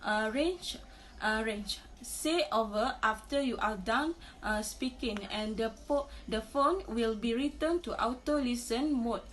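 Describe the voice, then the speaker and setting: A young woman presents as a student in a fairly high voice. She speaks slowly and haltingly, repeating words as she goes. Faint noise sits behind her. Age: young adult. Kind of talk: class presentation.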